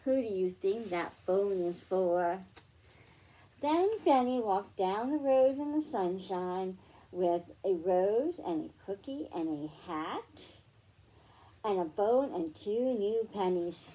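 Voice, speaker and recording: A woman's voice: medium pitch, animated, takes it slowly, mellow, poor audio. A woman hosting a children's library storytime, reading aloud and explaining things in simple phrases for young listeners.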